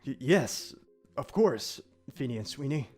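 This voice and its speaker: A man's voice: mid-range voice, animated, measured pace, a little mellow, slightly rough, soft background music. An actor playing a stern, protective male demon guardian who speaks in stiff, archaic, formal diction in an audio drama. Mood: stiff deference.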